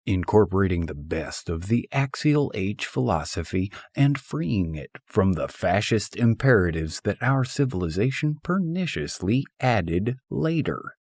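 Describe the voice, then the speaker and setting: Audiobook narrator: man with a fairly deep, very varied delivery, wry and expressive on humorous philosophical prose. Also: husky, no echo, studio quality.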